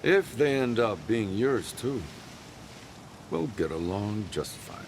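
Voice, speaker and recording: Brief: a bitter, secretive loner, very deep voice, guarded delivery, noisy game ambience. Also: male voice, big pitch swings, slow, full character voice. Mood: grim. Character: grim secretive male stalker loner, bitter and distrustful. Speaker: video game character. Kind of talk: video game cutscene voice acting.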